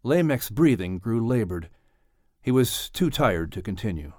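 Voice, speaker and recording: A man's voice: on the low side, very animated, unhurried, a little mellow, no echo, clean studio sound. A man narrating an audiobook and announcing a podcast, in a storytelling announcer style.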